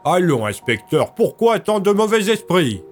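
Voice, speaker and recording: A man's voice: sits high, sing-song, unhurried, faint music behind. A man playing a refined, scheming military leader in an audio drama, with urbane, courteous and smug manners. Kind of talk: French audio fiction acting.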